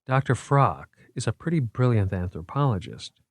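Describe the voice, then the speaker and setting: A man reading an audiobook, voicing a sharp, skeptical young female student in a dry, measured manner. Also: medium pitch, very animated, no room sound. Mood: wary. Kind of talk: audiobook character voice.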